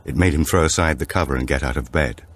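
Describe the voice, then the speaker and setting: A man reading a thriller audiobook in a measured, dramatic storytelling manner. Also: extremely low pitch. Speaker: audiobook narrator. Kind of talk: scripted thriller narration.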